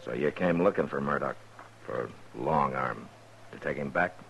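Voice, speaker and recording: An actor playing a stern, steady American frontier lawman in a 1950s radio western, with a husky voice. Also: extremely low pitch, moderate pace, slight background noise, full character voice, poor audio. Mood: probing.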